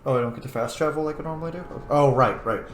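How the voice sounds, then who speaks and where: A man's voice: on the high side, fast talker, roomy sound, a little hiss or hum. A man giving casual playthrough commentary as a game streamer, playfully talking back to the game.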